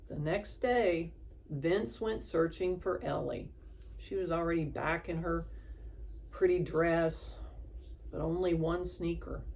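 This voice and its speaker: Woman storyteller, expressive children's read-aloud, slow pace, creaky, slightly low voice.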